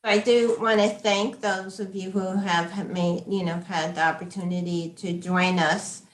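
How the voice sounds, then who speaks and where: A woman moderating an academic panel, speaking haltingly and often restarting her sentences. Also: mid-range voice, little pitch movement. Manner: hesitant, many fillers and restarts. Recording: echoey room sound.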